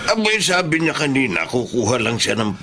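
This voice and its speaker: A man's voice: medium pitch, very animated, a little fast. An actor playing an obliging, flustered elderly grandfather in a Filipino radio drama, hesitant and stammering, with a Filipino accent. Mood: drowsy, apologetic. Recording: noisy radio drama audio.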